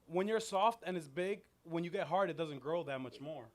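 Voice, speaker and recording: Lively high-voiced young man bantering. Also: normal speed. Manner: playful, animated, provocative. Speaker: podcast co-host. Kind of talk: casual banter.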